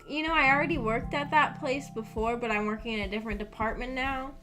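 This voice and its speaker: A woman's voice: a little high, fast talker. A young adult woman streamer chatting casually with her viewers in a shy, rambling, hesitant way. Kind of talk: casual chat with livestream viewers. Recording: music bed under the voice. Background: background music.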